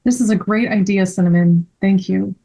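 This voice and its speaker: A woman's voice: mid-range voice, normal speed, creaky, mellow. Woman reading an anxious, cautious man. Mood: grateful. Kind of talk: table-read acting of a children's mystery.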